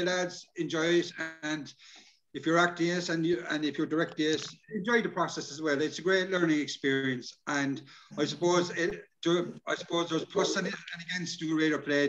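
A man with an Irish accent presenting informally at an online workshop in a casual, matey, halting way. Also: a little high, fairly bright tone, a little hiss or hum. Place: indoor room, on a video call. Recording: dull lo-fi video call.

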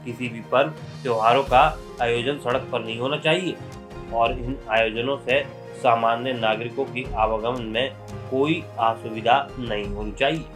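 A man's voice: mid-range voice, steady tone, normal speed. A man reading a scripted news report as a presenter. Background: background music.

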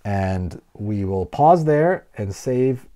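A man's voice: fairly low pitch, expressive, slow pace, dark tone. A man reading a children's book aloud as a storyteller, expressively, with casual asides.

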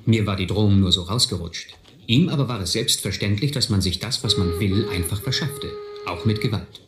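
A man's voice: fairly low pitch, normal speed, close to an everyday voice. An actor playing a reflective man who narrates his boyhood adventures in a radio play. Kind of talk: scripted first-person radio play narration. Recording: echoey, slightly noisy audio drama recording.

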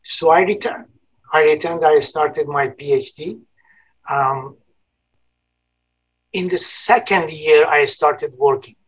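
Persian-accented older man, husky. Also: on the high side, slow, pauses often. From northern Iran. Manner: earnest and formal, with frequent ums and repeated words. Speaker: guest, business college dean. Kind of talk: interview storytelling. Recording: dull lo-fi sound.

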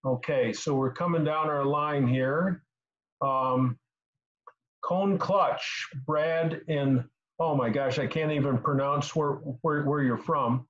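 Older man, halting, on a dull video call. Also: on the high side. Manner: halting, repeats words as he explains. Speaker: host answering car repair questions. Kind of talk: casual technical advice on a video call. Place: small home room indoors. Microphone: computer mic on a video call.

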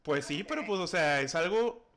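A man's voice: high voice, lively intonation, normal speed, crowd noise. A man, chatting casually on a phone call during a livestream. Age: 20s. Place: indoor room at home.

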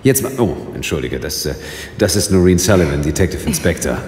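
An actor playing a skeptical, probing police investigator in a crime audio drama, with a dry manner. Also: male voice, deep voice, sing-song, a little fast, darkish tone, a little hiss or hum. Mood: impatient, businesslike. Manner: dry, questioning.

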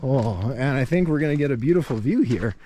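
A man's voice: mid-range voice, animated, a little fast, dark tone. A man in his thirties, a travel vlogger joking as he walks and talks to a handheld camera on a rocky riverside path. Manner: upbeat, joking, walking and talking to a handheld camera. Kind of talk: casual travel vlog. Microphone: handheld camera at arm's length.

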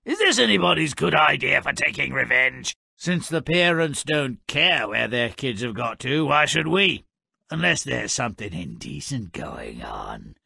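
An actor playing a gleefully malicious, sardonic little fairy-tale troublemaker in a video game, with a raspy, gravelly voice and a theatrical, gloating delivery. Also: male voice, on the high side, dry recording, studio quality, heavily acted. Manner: sardonic, gloating, theatrical, swings from murmur to shout. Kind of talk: theatrical scripted game narration.

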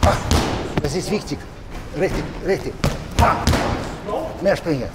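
A man's voice: on the high side, expressive, measured pace. A middle-aged man coaching pad drills, calling short counts and commands in a large indoor boxing gym, picked up by a camera at a distance. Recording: gym noise with loud thuds.

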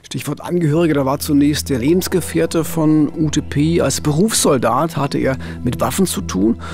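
A middle-aged man presenting a true-crime case in a deliberate, emphatic, conversational narration on a studio microphone. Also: on the high side, darkish tone, faint music behind. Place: indoor radio studio. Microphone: studio boom microphone.

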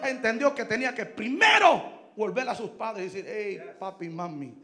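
Preacher, male, 30s, high gravelly voice, shouts in bursts, handheld mic in a church, faint music behind. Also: expressive, normal speed. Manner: shouting, emphatic, switching between Spanish and English. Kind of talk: Pentecostal sermon. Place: large church stage indoors. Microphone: handheld microphone.

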